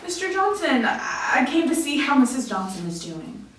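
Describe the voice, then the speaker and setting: Lady reading sorry witch at a table read, high voice, hesitant and earnest, far from mic w some hiss. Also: sing-song, normal speed, fairly bright tone. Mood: hesitant, apologetic. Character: apologetic, hesitant witch who regrets her hot temper. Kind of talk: script table read, amateur acting. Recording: distant mic, echoey room, faint noise.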